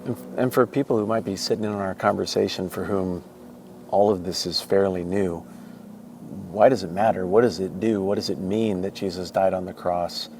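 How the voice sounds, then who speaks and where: A man's voice: deep voice, vocal fry, darkish tone, slight background noise. A man hosting a show, asking curious, reflective questions while being shown around a monastery garden. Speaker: host interviewing a monk. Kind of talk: curious casual interview.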